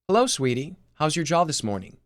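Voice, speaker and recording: A man's voice: fairly high pitch, big pitch swings, fairly quick, dry recording, studio quality, voice pushed up. A man voicing a smothering, doting mother who fusses over her family in a screenplay reading.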